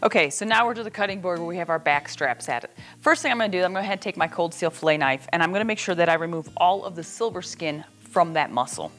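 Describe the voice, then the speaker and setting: A woman's voice: lowish voice, animated, fast talker, fairly bright tone, soft background music. A middle-aged woman hosting a casual cooking demonstration in a plainspoken, folksy way, recorded in a home kitchen. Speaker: cooking host.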